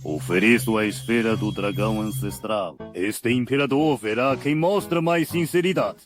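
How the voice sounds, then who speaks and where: A man's voice: on the low side, normal speed, music in the background, lightly acted. A man with a Brazilian accent playing a proud, blustering dragon emperor in a dubbed motion comic. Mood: angry, challenging. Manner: blustering, declamatory. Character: proud, hot-tempered elder dragon emperor.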